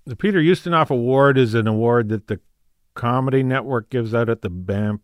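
A middle-aged Canadian man with a prairie accent talks casually as a guest, rambling and doubling back on his sentences. His voice sits at an ordinary pitch. It is recorded close and dry. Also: fairly quick, dry recording. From Canada, rural Saskatchewan. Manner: rambling, self-correcting, laughs easily. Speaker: comedian guest. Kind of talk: casual interview chat.

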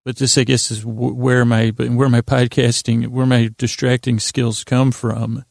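Male sleep-podcast host: creaky, gravelly voice, flat-leaning delivery, meandering and halting, full of digressions and doubled-back phrases. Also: medium pitch, no echo, studio quality. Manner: halting, repetitive, digressive, self-interrupting. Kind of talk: rambling, meandering bedtime monologue.

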